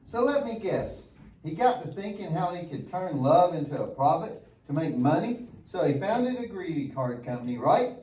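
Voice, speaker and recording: Man acting as mischievous puppet boy, goofy, animated high voice, church puppet show, distant mic. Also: normal speed. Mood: wisecracking. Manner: exaggerated, wisecracking. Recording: echoey room, distant mic.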